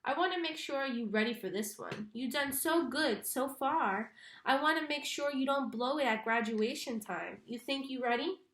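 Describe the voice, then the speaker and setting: A woman's voice: high-pitched, moderate pace, fairly bright tone, reverberant. A woman voicing a sly teenage boy with a slangy, mock-serious manner in a storybook reading.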